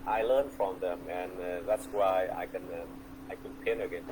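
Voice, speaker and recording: A man's voice: low voice, fairly even intonation, background noise. A middle-aged man with a Vietnamese accent, a guest poet answering on a video call, hesitant and full of fillers and restarts. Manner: slow, hesitant, many fillers and repeats. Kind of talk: relaxed conversational interview.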